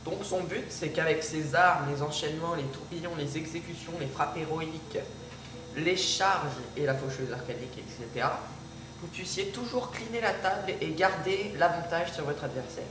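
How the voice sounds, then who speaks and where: A man's voice: fairly high pitch, moderate pace, distant mic, background noise. A man with a cold, hesitantly talking through a card game with lots of fillers and restarts. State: has a cold. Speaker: gaming video creator. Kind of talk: casual gameplay commentary. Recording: echoey room, off-mic, music behind. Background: background music.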